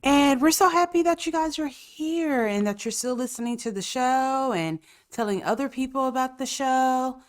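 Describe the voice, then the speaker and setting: A woman's voice: high voice, moderate pace, few pauses. A woman hosts a casual podcast while stuffed up with a cold. She tells stories with sassy, profane humor and laughs often. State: congested, coughing. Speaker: podcast host. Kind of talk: casual chat.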